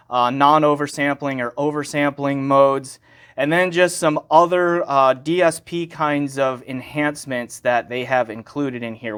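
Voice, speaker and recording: Male reviewer, mid pitch, conversational and unscripted, correcting himself as he demonstrates gear. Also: fairly bright tone, clear, resonant tone. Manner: conversational, self-correcting, thinking aloud. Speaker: gear reviewer and channel host.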